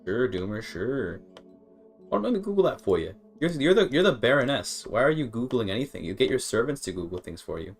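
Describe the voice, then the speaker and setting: A man's voice: mid-range voice, big pitch swings, fairly quick, faint music behind. A man giving casual live gameplay commentary, rambling and repeating words as he thinks aloud. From Canada. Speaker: game streamer.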